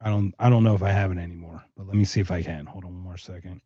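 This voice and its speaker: A man's voice: deep voice, fairly even intonation, rapid-fire, mellow, husky, dry recording, highs cut off. A man hosting a casual podcast conversation in an enthusiastic, effusive way, often repeating himself for emphasis. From Philadelphia, United States. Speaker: podcast host.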